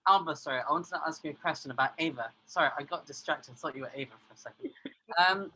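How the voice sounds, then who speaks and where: A young man with a bright, forceful voice, joining a video call as a journalist. He stumbles and apologises as he goes. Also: on the high side, fast, slight background noise, low-quality recording. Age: young adult. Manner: flustered, apologetic. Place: indoor room, video call.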